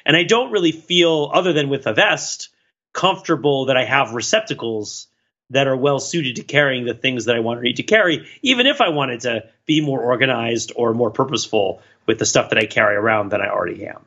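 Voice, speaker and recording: Male co-host for casual podcast talk, bright and forward tone, medium pitch, somewhat lo-fi audio. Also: brisk. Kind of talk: casual conversational podcast discussion.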